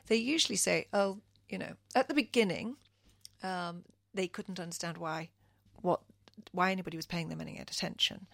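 A woman's voice: lowish voice, creaky, breathy edge, no echo, studio quality. A middle-aged woman from Kenya, speaking as a radio interview guest in an earnest, thoughtful way with frequent restarts.

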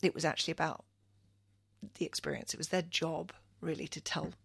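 A woman's voice: low-pitched, steady tone, a little fast, gravelly, fairly bright tone, husky, no room sound, studio quality. A middle-aged woman from Kenya, speaking as a radio interview guest in an earnest, thoughtful way with frequent restarts.

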